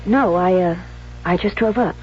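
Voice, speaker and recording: A woman's voice: mid-range voice, normal speed, a little hiss or hum, natural voice. An actress playing a jealous, obsessive young woman in an intense, theatrical 1970s radio drama style. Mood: nervous, evasive. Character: jealous, obsessive young bride-to-be turned murderess. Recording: dull vintage radio recording with music.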